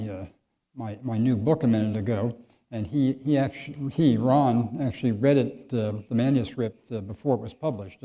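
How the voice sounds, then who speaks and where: A physician in his seventies giving a lecture, with a soft voice and a relaxed, conversational way of talking. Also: mid-range voice. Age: 70s.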